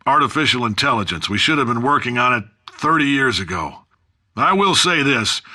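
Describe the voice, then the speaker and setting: A loud brash video game ceo, older man, super punchy sharp voice, swings up and down, folksy salesman bluster. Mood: urgent, stubborn. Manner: folksy, booming salesman bluster with blunt dry asides. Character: brash, callous, blustering older male science company CEO. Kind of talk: scripted video game voice acting, recorded announcement.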